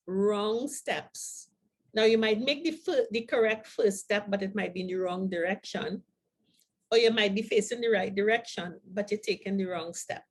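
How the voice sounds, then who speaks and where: An older woman co-hosting a talk show, speaking to viewers in a deliberate, emphatic manner. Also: on the high side. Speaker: co-host of a show for the over-fifties.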